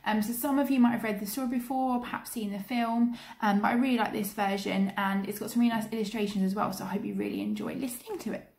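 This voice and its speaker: A woman's voice: a little high, steady tone, quick pace, a little mellow. A woman reading a children's story expressively, like a teacher to pupils.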